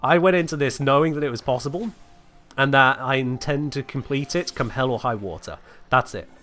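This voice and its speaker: A man's voice: mid-range voice, fairly quick, a bit bright. A sick man rambles easily through a live gaming stream, answering chat between coughs. His voice sits in an ordinary middle range. Faint music plays underneath.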